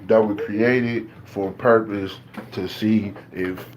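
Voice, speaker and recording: A man's voice: on the low side, fairly even intonation, takes it slowly, very noisy. A man from Ohio, ranting casually in loose, slangy talk and preaching his views. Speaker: beatmaker talking to camera. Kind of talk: casual rant.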